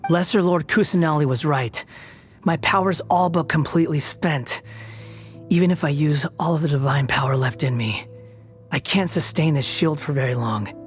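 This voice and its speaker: An actor playing an aloof, proud young wandering god in a video game, speaking with a cool, dry, mocking delivery. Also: male voice, a little high, normal speed, soft background music, natural voice. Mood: weary. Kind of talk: video game cutscene dialogue.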